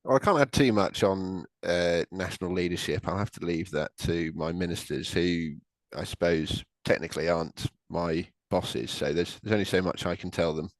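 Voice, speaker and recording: Deep-voiced man on a dry video call. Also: no echo, low-quality recording. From Wales. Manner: measured, hedging, many fillers and restarts. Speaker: government policy official. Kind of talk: webinar panel discussion.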